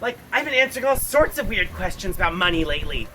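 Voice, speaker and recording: Animated, whiny self-centered slacker played by a woman. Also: fairly low pitch, fast talker, slight background noise. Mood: exasperated. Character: self-centered, whiny slacker landlord, scheming and blame-shifting. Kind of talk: animated puppet show dialogue.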